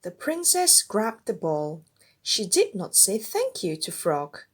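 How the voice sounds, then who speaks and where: A woman narrating a read-along storybook in an expressive way for young children. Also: medium pitch, takes it slowly, husky. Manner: animated, addressing young children and parents.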